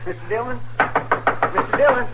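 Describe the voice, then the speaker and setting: An actor playing an anxious, earnest, deferential western deputy in an old radio drama. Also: male voice, very high voice, a little fast, over music, rough recording. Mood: anxious, urgent.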